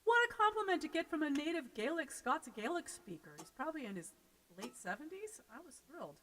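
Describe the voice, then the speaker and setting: An American woman from Seattle, a folk singer chatting casually between songs on her live stream, quick and self-deprecating. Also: sits high, big pitch swings, pushed and bright, big-room sound, a little hiss or hum. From Seattle, United States.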